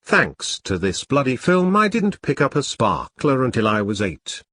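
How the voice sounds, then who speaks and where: A British man narrates a scripted review with sardonic, deadpan wit and the odd swear word. The voice is mid-pitched and recorded very dry and close. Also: a little slow, no room sound.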